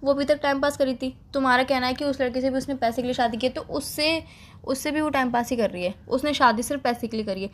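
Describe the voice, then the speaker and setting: A young woman on a phone call. She presses gently and reasons calmly to get someone to open up. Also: high-pitched, quick pace. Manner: persistent, coaxing, reasoning. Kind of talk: emotional phone conversation.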